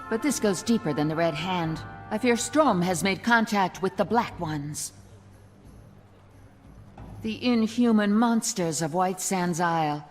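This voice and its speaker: A wary resistance agent in a fantasy game. Her voice sits fairly low, earnest and foreboding, with a long reverb and some music behind it. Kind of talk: video game dialogue.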